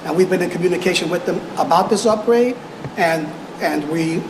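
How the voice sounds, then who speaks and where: A man speaking as a police official at a press conference, answering in halting, measured official phrasing with repeated words, in an echoey, noisy room. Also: high voice.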